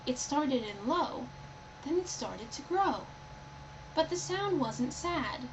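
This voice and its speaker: A woman reading a rhyming storybook aloud with expressive narration. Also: sits high, slow. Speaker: storybook reader. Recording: dull, lo-fi, loud background noise.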